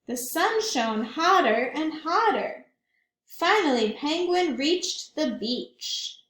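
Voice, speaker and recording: A woman's voice: high-pitched, expressive, slow pace, reverberant. A woman teacher reading a picture book aloud to children, often stopping to ask them questions. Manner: warm, questioning, child-directed.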